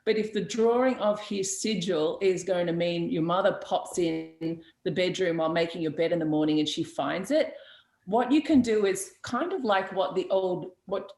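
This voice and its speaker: A woman's voice: fairly low pitch, moderate pace, a little mellow. A woman hosting a witchcraft talk show, answering viewer questions in a blunt, chatty way with frequent laughs, fillers and restarts. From Australia. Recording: roomy echo.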